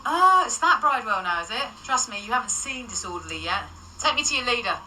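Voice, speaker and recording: An actress playing a sardonic, streetwise ghost agent who stays unflappable, in a radio drama. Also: female voice, on the high side, big pitch swings, fast, bright, forceful tone, exaggerated voice. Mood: wry, defiant. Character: wry, unflappable female ghost of a French nun, paranormal agent. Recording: slightly distant, faint background noise and ambience.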